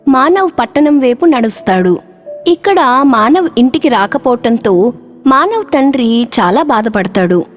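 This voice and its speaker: A woman narrating a children's cartoon story in a measured storytelling manner. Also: a little high.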